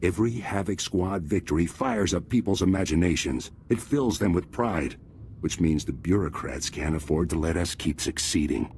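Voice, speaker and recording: An actor playing a grave, disillusioned military commander in a video game, speaking in a solemn, measured, formal way. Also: male voice, low voice, darkish tone, slight background noise. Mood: bitter resolve. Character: disillusioned male traitor commander, grave and honorable soldier. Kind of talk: video game cutscene acting.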